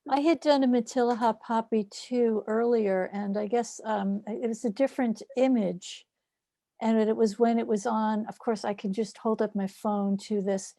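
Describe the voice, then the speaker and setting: A woman's voice: on the high side, fairly even intonation, moderate pace, dark tone, no echo. A woman talking casually about her handiwork in a group conversation. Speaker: group participant. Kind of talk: casual chat.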